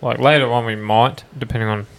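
A man's voice: mid-range voice, steady tone, fairly quick. A young Australian man co-hosting a podcast in casual chat, with a laid-back, sweary, slangy way of talking. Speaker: podcast co-host. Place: smallish room in a home.

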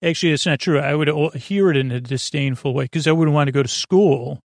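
A man hosting a sleep podcast in a rambling, halting, self-deprecating bedtime monologue full of tangents, with a creaky voice close to the mic. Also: darkish tone, no echo. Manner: self-deprecating, low-key.

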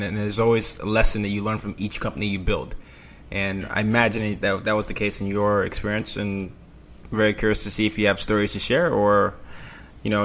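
A man's voice: fairly low pitch, fairly bright tone. A man hosting a podcast interview, upbeat and friendly, laughing easily as he asks his guest questions. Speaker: podcast host. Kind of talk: casual interview.

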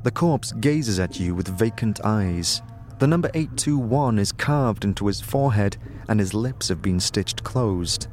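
A man's voice: fairly low pitch, animated, a little slow, darkish tone, faint music behind. A man reading scripted fantasy narration as a storyteller, vividly addressing the listener. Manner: vivid second-person storytelling.

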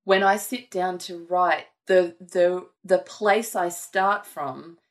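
A woman's voice: lowish voice, steady tone, slow pace. A woman author talking thoughtfully and earnestly about her writing in a conversation. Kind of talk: reflective conversation.

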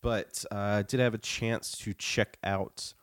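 A man's voice: on the low side, fairly even intonation, measured pace, clean tone, dry recording, clean studio sound. A man giving a casual podcast review while getting over the flu.

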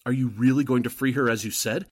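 A man's voice: medium pitch, fairly even intonation, fast, no echo, studio quality, lightly acted. A man playing a suspicious, cautious Nazi henchman in a 1940s-style radio drama. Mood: wary.

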